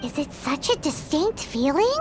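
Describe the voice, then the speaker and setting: A woman's voice: sits high, big pitch swings, moderate pace, background noise. A woman voicing a tiny, cheeky, childlike fairy companion in a video game, perky and cheerful. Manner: speaks of self in third person, perky.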